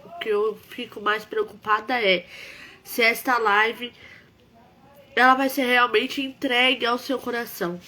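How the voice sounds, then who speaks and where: Woman, worship live-stream host, congested with a cold, slow, earnest, bright tone. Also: on the high side, little pitch movement. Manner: earnest, repetitive, emphatic exhortation. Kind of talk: devotional live-stream talk.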